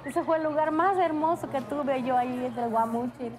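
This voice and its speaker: A woman reminiscing slowly and fondly about a place. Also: sits high. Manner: slow, fond reminiscing.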